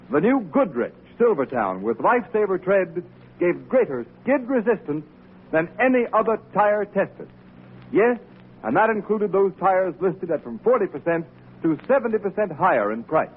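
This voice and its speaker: Old time radio ad guy, high pitched, salesy and emphatic, phone-like sound. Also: breathy edge. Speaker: radio announcer. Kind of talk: 1930s radio advertising read. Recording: tinny, muffled old radio recording.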